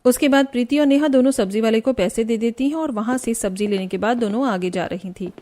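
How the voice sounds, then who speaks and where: A woman's voice: on the high side, a little fast, a little mellow. A woman reading scripted narration for a cartoon story.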